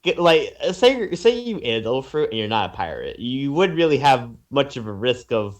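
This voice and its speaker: A young man chatting casually and critiquing in a halting way, repeating words as he thinks. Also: mid-range voice, big pitch swings. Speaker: co-commentator. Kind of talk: casual chat, teasing critique.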